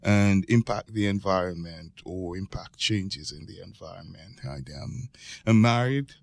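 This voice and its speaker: Jamaican guy, lowish voice, professor type explaining stuff on a podcast, says "right?" a lot, dry sound. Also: a little slow, gravelly, no room sound. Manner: often checks in with "right?". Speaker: guest expert, geology professor. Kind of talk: conversational explaining in a podcast interview.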